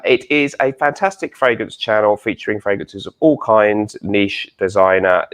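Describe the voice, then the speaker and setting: A man hosting a chatty online interview, speaking in a polite, complimentary and somewhat hesitant way. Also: lowish voice. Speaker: host of a fragrance interview show.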